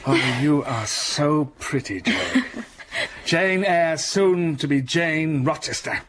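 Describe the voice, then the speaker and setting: An ardent older gentleman. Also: on the high side, animated, slow pace, slight background noise. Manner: ardent, playful. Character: older wealthy gentleman, passionate, teasing, commanding. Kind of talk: period radio drama.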